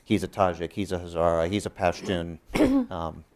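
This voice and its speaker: A man moderating a panel discussion, speaking in a measured and slightly halting way. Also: low-pitched, wildly swooping pitch, darkish tone.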